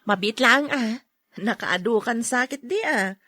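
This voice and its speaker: Chatty, high-voiced Ilocano shopkeeper. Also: female voice, measured pace, pushed and bright, no room sound, clean studio sound. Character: chatty, teasing woman shopkeeper, frank with her friend. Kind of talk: radio drama acting.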